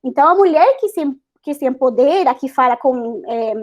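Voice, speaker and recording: A woman's voice: sits high, lively intonation, moderate pace, a little mellow. A woman with a Spanish accent, Spanish being her first language, speaking earnestly as a scientist guest on an online panel, with many hesitations and restarts. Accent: Spanish-accented Portuguese. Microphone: video call.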